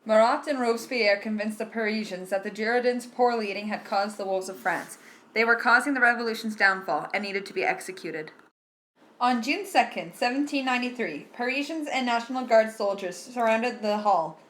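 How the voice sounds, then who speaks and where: A woman's voice: a little high, normal speed, a bit bright. A woman reading scripted history narration as the narrator of a puppet show.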